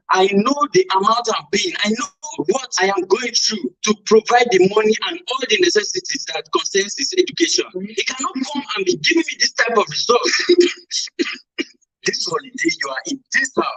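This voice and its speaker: A man's voice: extremely high pitch, a bit bright, husky, exaggerated voice. A man with a Nigerian accent playing an angry, domineering father who scolds and badgers in a radio drama. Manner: scolding, badgering with repeated questions. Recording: off-mic, distant voice.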